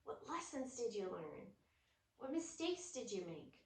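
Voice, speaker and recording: A woman reading a children's book aloud with expressive, storytelling delivery. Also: mid-range voice, measured pace. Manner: expressive, drops to whispers at quiet moments. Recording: roomy echo, distant mic, faint noise.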